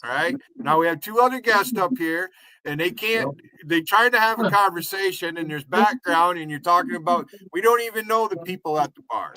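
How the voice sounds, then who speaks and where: A man's voice: high-pitched, expressive, fairly quick, pushed and bright, no room sound, poor audio. A man hosting a live stream panel, talking firmly and lecturing a guest on etiquette. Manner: firm, scolding about etiquette. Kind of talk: casual live stream chat.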